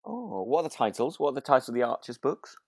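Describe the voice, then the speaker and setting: Animated British man in relaxed podcast chat. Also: mid-range voice, fast talker, slightly rough, dry recording, studio quality. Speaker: podcast host.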